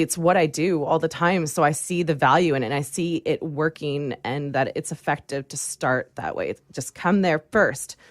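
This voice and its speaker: A woman's voice: sits low, no room sound, studio quality. A woman speaking as an interview guest, explaining things in a chatty, conversational way. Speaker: guest, branding agency owner.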